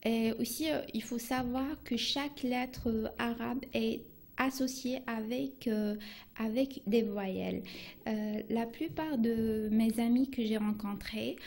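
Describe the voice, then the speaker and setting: A woman whose first language is Arabic teaches beginners, slow and unhurried. Her voice sits a little high but sounds dark and mellow. She speaks haltingly, often stopping to restart. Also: gravelly. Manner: halting, many restarts and fillers. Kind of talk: beginner language lesson on radio.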